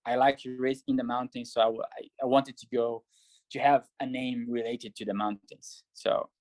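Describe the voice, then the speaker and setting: A man talks through his answers in a measured way, pausing on fillers as he thinks. His voice sits at a middle pitch for a man. The sound is dry and close, with no room echo. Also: flat delivery, darkish tone. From Brazil. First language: Portuguese. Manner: many fillers, thoughtful and measured. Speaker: pro triathlete and coach. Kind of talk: casual interview answers.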